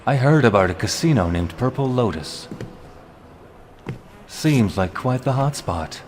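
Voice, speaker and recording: A man's voice: medium pitch, animated, measured pace, a little mellow, slight background noise, close to an everyday voice. A man playing a refined, haughty vampire henchman in a fantasy audio drama, with a formal, wry, drawling delivery. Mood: informative, wry.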